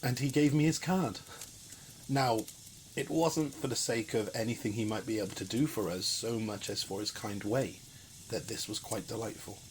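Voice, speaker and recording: A man plays a kindly working father in mourning in a Victorian audio drama. His fairly low voice is gentle, earnest and tender. A faint noise sits behind the recording. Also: normal speed, lightly acted, low-quality recording. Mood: tender grief.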